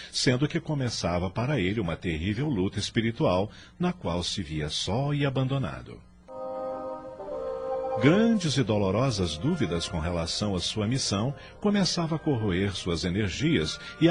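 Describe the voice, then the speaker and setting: A man narrating a scripted religious radio drama in a solemn, literary storytelling manner. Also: on the low side. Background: soft music.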